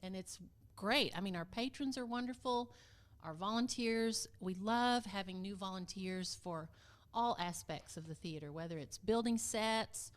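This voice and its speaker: A woman's voice: medium pitch, a little slow. A middle-aged woman from Texas talking as a podcast guest, telling local history in a casual, conversational way. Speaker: podcast guest, community theater vice president of production.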